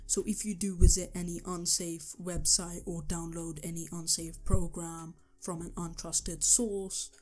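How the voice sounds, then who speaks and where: A young man with a sore, hoarse throat, talking through a computer tutorial step by step, with the odd um. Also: a little high, little pitch movement, a little slow, few pauses, faint music behind. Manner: earnest step-by-step instructions with ums. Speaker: tech tutorial youtuber. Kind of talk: screen-recorded tutorial voice-over.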